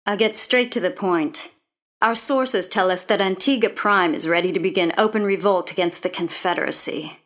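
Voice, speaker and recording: A woman playing a brisk, direct military lieutenant giving a mission briefing in a video game. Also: medium pitch, a bit bright, natural voice. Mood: businesslike. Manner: direct, businesslike.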